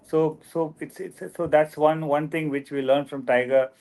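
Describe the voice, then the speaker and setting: A man speaking on an online panel about filming wildlife, halting and repeating words as he searches for them. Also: a little high, steady tone, darkish tone. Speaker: guest speaker, wildlife filmmaker. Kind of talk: answering questions on a panel.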